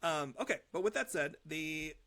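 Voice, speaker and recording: A man's voice: on the high side, expressive, moderate pace, sharp tone. A young man hosting a music reaction show, talking casually in an earnest, reflective, rambling way. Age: young adult.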